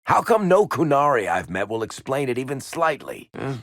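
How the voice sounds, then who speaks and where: An actor playing a wry, roguish middle-aged storyteller in a video game, with a gravelly, husky American voice and a sardonic, teasing delivery. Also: male voice, mid-range voice, very animated, moderate pace, fairly bright tone, no room sound, clean studio sound. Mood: curious, teasing. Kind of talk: video game party banter.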